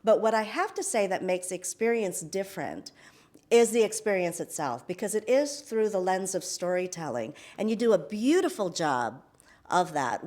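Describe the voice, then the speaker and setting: A woman's voice: fairly low pitch, a little mellow. A middle-aged woman born in the Philippines, hosting an interview in a chatty way with many fillers and restarts. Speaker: host, interviewer. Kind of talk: conversational interview.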